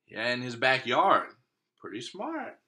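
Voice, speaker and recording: A man's voice: mid-range voice, huge pitch swings, a little slow, sharp tone. A man reading a picture book aloud expressively as a teacher, stopping to put questions to young listeners.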